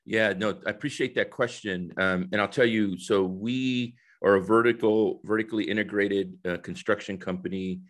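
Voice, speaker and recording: A man in his fifties from El Salvador, raised in the United States, giving conversational interview answers in a halting, explanatory way. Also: on the low side, steady tone. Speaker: guest, corporate diversity executive. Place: indoor office, video call. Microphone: headset on a video call.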